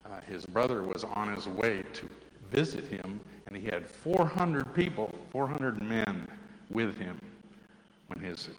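A man teaching a Bible lesson to children, telling the story and asking them questions in a loud voice. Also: fairly low pitch, expressive, measured pace. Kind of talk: Bible story told to children, then a hands-on activity. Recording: long reverb with faint ambient noise.

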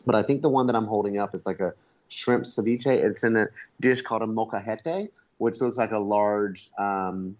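A man's voice: lowish voice, normal speed, lo-fi sound. A man on a remote call as a podcast guest who blogs about food, chatting casually and laughing at himself. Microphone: Skype call.

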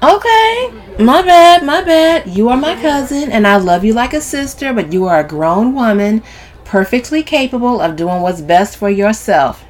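A brash, sassy woman from an audiobook novel, acted by a woman narrator, pitched high and swooping. She is scornful and dramatic, with a sharp tongue and salty, exclamatory delivery. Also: lively intonation, moderate pace, natural voice. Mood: sarcastic.

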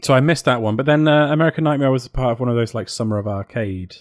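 A man talks as a podcast co-host in casual conversation, recorded very dry. He thinks aloud, often hesitant as he feels for the words, at an ordinary male pitch. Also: quick pace, no room sound. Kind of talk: casual chat about games and films.

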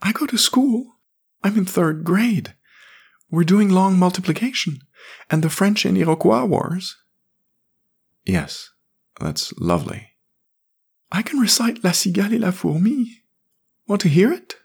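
A man voicing a sullen, lonely young boy in an audiobook, raising his voice high and childlike. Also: very animated, a little slow, gravelly, pauses often, husky, exaggerated voice. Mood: eager. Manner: high childlike voice, pleading and sulky. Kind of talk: audiobook character voice.

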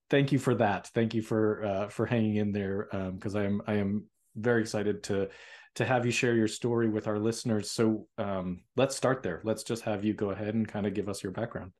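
Low-voiced man hosting, halting and friendly. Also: a little mellow. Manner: friendly, repeats words and restarts often. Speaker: podcast host. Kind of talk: interview hosting.

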